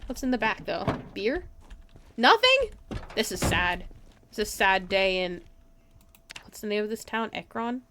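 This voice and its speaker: A woman's voice: mid-range voice, big pitch swings, measured pace, pauses often, background noise. A woman giving casual live gameplay commentary in a playful way, talking to herself and her chat. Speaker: game streamer, host.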